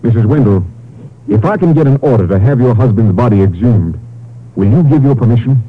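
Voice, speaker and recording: An American man playing a brisk, commanding Texas Ranger captain in a 1950s radio drama. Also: medium pitch, lively intonation, natural voice. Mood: decisive. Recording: narrow, noisy old radio broadcast.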